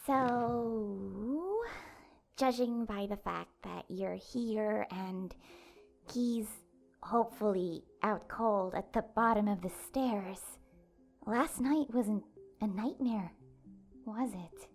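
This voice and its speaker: A woman's voice: mid-range voice, slow, vocal fry, darkish tone. A woman acting a frightened but sarcastic young heroine in an audio drama. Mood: wary, dry. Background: faint music.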